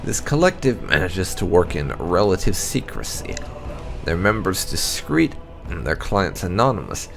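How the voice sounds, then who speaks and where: A man narrating game lore as the host of a gaming video, with a gravelly voice. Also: low-pitched, big pitch swings, normal speed, music in the background.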